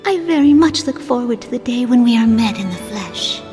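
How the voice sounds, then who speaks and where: Casting: woman to play solemn young royal for fantasy game, high-pitched, gracious, measured and formal, in a reverberant hall. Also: lively intonation, over music, lightly acted. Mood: gentle. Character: young gracious princess, solemn, regal and gentle. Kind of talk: video game cutscene acting.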